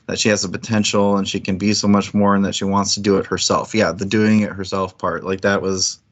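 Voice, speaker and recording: A man's voice: lowish voice, little pitch movement, brisk, lo-fi sound. A man chatting informally about books as a livestream co-host, rambling and thinking aloud as he goes. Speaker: co-host of a book club livestream. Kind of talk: casual book discussion chat.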